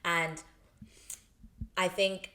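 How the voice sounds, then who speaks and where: A woman's voice: on the low side, little pitch movement, extremely slow, pushed and bright. A woman from Lesotho co-hosting a podcast, talking casually with playful, emphatic reactions. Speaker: podcast co-host. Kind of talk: casual chat.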